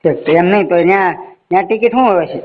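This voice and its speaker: Gujarati guy from saurashtra accent-wise, high voice, talks fast, keeps asking stuff casually, muffled phone recording. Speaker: caller asking questions. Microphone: phone call. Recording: dull lo-fi phone call recording.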